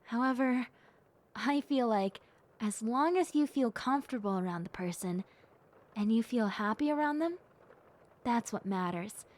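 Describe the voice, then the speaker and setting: A sweet young bat girl, acted by a woman, speaks in a highish voice at a very slow pace. She is earnest and cheerful, talking softly-heartedly and reflectively to one listener in an audio roleplay. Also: lively intonation. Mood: wistful, reflective.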